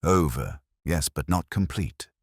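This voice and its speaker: A man voicing a grave, precise Victorian detective in a storybook reading, speaking deliberately and tersely. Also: extremely low pitch, expressive, husky, no room sound, clean studio sound, heavily acted.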